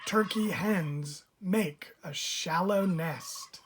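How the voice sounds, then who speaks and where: Woman storybook reader, lowish pitch, very slow and expressive delivery for kids, noisy recording with turkey calls. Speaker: picture book reader. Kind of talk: read-aloud of a rhyming children's storybook. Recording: clear background noise, bird calls. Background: turkeys gobbling and clicks.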